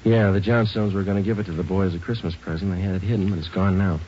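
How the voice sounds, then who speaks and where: An American man playing a terse, businesslike police detective in a 1940s radio drama, deadpan and matter-of-fact. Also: low voice, fast talker, slight background noise, natural voice. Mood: calm, businesslike. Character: terse, businesslike male police detective narrating his case. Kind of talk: 1940s radio drama acting, clipped narration. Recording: dull old 1940s radio recording, music.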